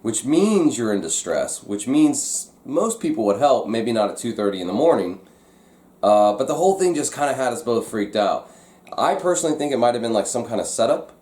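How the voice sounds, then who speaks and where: Guy hosting a vlog, just casually telling a story, average pitch, kinda echoey room sound. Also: very animated, brisk, a little mellow. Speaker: vlog host.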